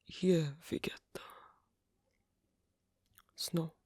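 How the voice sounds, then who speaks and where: A performer playing a shy, bookish, isolated swan king in intimate ASMR roleplay, speaking halting English with a foreign accent in a creaky half-whisper. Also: darkish tone, no echo, clean studio sound. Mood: shy wonder. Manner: halting, hesitant, clipped non-native phrasing.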